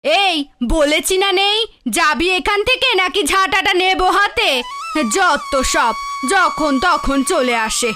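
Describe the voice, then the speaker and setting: A woman's voice: very high-pitched, huge pitch swings, takes it slowly, music in the background, exaggerated voice. A woman voicing an ill-tempered, stingy village aunt in a cartoon fairy tale, scolding and sarcastic. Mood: irritated, scolding.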